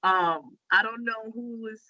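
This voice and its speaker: A man's voice: high voice, a little slow, sharp tone, no room sound. A man from Staten Island, chatting loosely as a podcast guest and restarting his sentences often. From Staten Island, New York. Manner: loose, rambling, many restarts. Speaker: guest rider. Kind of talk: casual podcast conversation. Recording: dull lo-fi sound.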